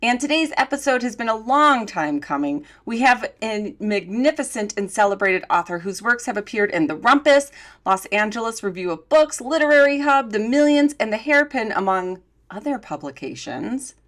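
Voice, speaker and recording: A woman's voice: on the high side, lively intonation, normal speed, a bit bright. A woman co-hosting a podcast, chatting casually and telling stories with plenty of filler words and laughter. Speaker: podcast co-host.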